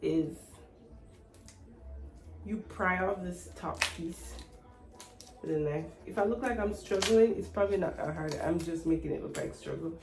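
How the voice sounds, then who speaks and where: A woman's voice: lowish voice, normal speed, long pauses, darkish tone, poor audio. A woman in her thirties vlogging casually, sounding stuffy from the flu and laughing at herself as she chats. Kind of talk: casual travel vlog.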